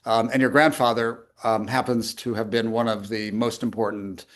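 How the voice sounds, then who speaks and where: A man interviewing a guest, asking guiding questions in a measured way. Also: on the low side, level tone. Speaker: interviewer, history professor. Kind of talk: conversational interview.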